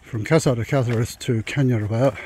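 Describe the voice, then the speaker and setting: A man's voice: medium pitch, fairly quick, dark tone. A man vlogging as he walks a long pilgrimage route, talking through his plans in a rambling, thinking-aloud way. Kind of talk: casual walking vlog. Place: outdoors on a rural dirt track. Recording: noisy outdoor walking recording. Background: rural outdoor noise.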